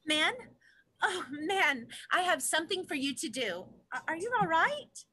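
A woman's voice: very high-pitched, lively intonation, unhurried, bright, forceful tone, heavily acted. A young woman playing an emotional fable woman in a dramatic play reading. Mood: concerned. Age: young adult. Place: home room, video call.

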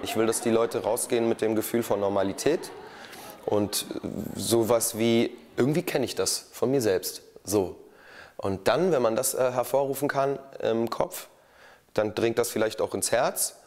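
A man's voice: medium pitch, moderate pace, a little mellow, slight background noise. A man in his thirties giving a thoughtful interview answer in a studio, searching for words now and then.

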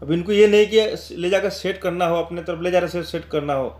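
A man's voice: high voice, fairly quick, a little mellow. A man giving an informal promotional voice-over as a channel host, explaining things repetitively and addressing viewers directly.

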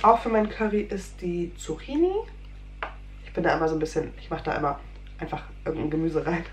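Female, 30s, casual home vlogger, slightly unwell, rambling and filler-heavy; roomy kitchen sound, soft background hum. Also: low-pitched, a little fast. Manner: chatty, rambling, many fillers. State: a little under the weather. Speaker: food vlogger. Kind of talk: casual chat. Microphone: fixed camera at desk distance.

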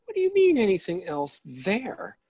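A man's voice: sits high, wildly swooping pitch, normal speed, warm tone, slightly rough, no room sound, exaggerated voice. An actor playing a fussy, flustered man unsettled by change, emphatic and stammering in a play reading. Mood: indignant, incredulous. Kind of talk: script read-through of a one-act play. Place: indoors at home on a video call.

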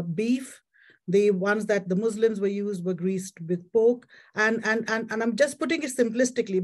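A woman gives a slide-based history talk to her club over a video call, heard through a computer microphone. Her voice sits at an ordinary middle pitch. She talks loosely and conversationally, scattering her explanations with fillers and restarts. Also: a little mellow. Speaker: club president-elect giving a talk.

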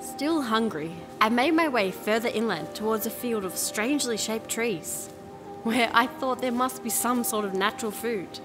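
A woman's voice: a little high, expressive, moderate pace, close to an everyday voice. A young woman playing a plucky, flippant princess in an audio drama, speaking in a chatty, wry, informal way. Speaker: lead actor and narrator. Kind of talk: audio drama, first-person narration and dialogue. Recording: music under the voice.

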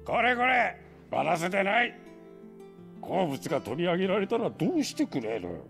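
An actor playing a kindly, grave old scholar with old-fashioned, measured phrasing in a Japanese video game. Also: male voice, high voice, very animated, creaky, fairly bright tone, faint music behind, heavily acted. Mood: flustered scolding. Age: older.

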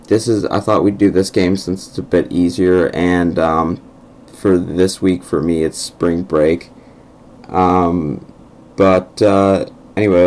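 A young man with a croaky voice narrating a casual game walkthrough over his screen recording, hesitant and full of ums. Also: low voice, a little mellow. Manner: hesitant, many ums and restarts. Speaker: gameplay video host. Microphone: computer microphone over screen recording.

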